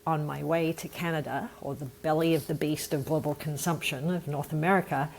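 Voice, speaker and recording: An Australian woman with a low voice and a gravelly edge speaks as an interview guest. She tells her story in an earnest, thoughtful way, with a faint hum of room noise behind her. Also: steady tone, fairly quick, darkish tone, low-quality recording. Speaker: nonprofit founder, podcast guest. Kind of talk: conversational interview answer.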